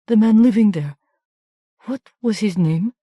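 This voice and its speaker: Wounded but commanding baroness in an audiobook, read by a woman, soft and controlled, dry studio sound. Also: mid-range voice, unhurried, breathy edge, no room sound, voice pushed up. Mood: grieving. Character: grieving scheming baroness, middle-aged.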